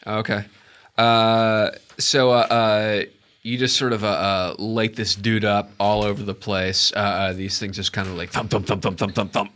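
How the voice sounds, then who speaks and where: A man's voice: on the low side, level tone, fairly bright tone. Congested man running a tabletop game. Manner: halting, many "uh" fillers. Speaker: dungeon master.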